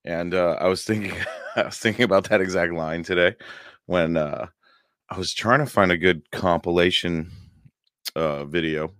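A hoarse man hosting a paranormal podcast livestream, chatting casually and rambling with many fillers and chuckles. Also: deep voice, moderate pace, a bit bright, no echo, clean studio sound. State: hoarse, losing his voice.

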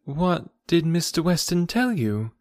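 A poised young gentlewoman, played by a male narrator from a classic novel. The voice is lifted in pitch and expressive, formal and guarded, with careful emphasis. It sounds dry and close. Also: normal speed, no room sound. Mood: guarded, puzzled. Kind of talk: classic novel audiobook character dialogue.

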